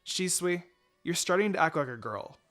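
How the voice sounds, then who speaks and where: A man's voice: sits high, animated, moderate pace, fairly bright tone, faint music behind, pitched above the performer's own voice. A man voicing a proud, sarcastic young female ninja who guards her feelings, in a story reading. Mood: sarcastic, guarded.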